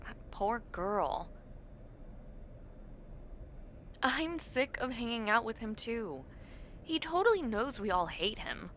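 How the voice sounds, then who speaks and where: A young adult woman playing a friendly, teasing university student in a casual audio roleplay, talking to the listener with expressive reactions and drawn-out words. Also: on the high side, slight background noise. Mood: sympathetic, exasperated.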